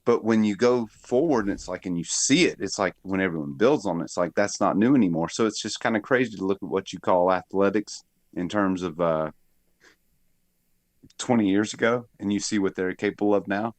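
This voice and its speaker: A man in a casual podcast chat, thinking out loud with reflective tag questions. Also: low-pitched, fairly quick, no echo. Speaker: podcast co-host.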